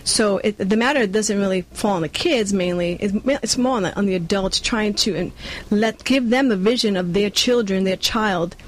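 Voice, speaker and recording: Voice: mid-range voice, moderate pace, rough recording. A community organizer with a New York accent and Spanish mother tongue, earnestly explaining the work to a local interviewer, light noise around. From born in Cuba, grew up in Brooklyn, New York. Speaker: community nonprofit leader being interviewed. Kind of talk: conversational interview answers.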